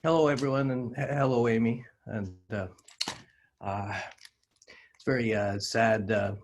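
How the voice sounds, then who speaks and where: A man's voice: medium pitch, fairly even intonation, unhurried, a little hiss or hum. An older man giving an informal tribute on a video call, very hesitant and repeating himself.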